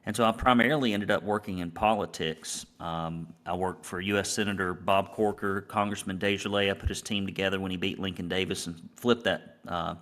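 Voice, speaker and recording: Very deep-voiced Southern American man. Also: steady tone, brisk, hardly any pauses, fairly bright tone. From Alabama, United States. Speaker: guest, business coach and painting academy founder. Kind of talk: conversational interview storytelling.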